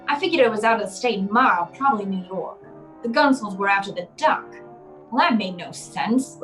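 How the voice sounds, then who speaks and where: A woman's voice: fairly high pitch, a bit bright. A woman playing a tough, wisecracking, unflappable private detective in an old-time radio drama, with clipped, slangy delivery.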